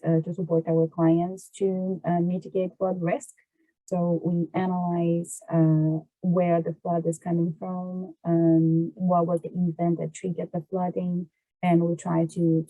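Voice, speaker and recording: A woman's voice: fairly low pitch, mellow, no echo, poor audio. A Brazilian woman with a Brazilian Portuguese accent, explaining her work as an interview guest, hesitating as she searches for words. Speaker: podcast guest, water resources engineer.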